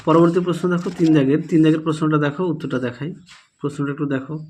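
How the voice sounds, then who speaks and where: A man's voice: fairly high pitch, steady tone, very fast, darkish tone, husky. A man teaching students in Bengali, walking through exam answers step by step and repeating his instructions. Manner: repetitive, instructive, addresses students directly.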